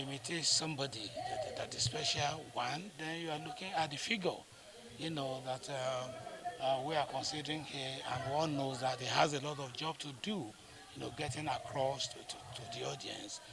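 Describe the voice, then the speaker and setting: A Nigerian-accented man, a stage actor, talking reflectively in an interview, deliberate and halting, with frequent pauses and repeated phrases. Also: mid-range voice, steady tone, vocal fry, a loud crowd, rough recording.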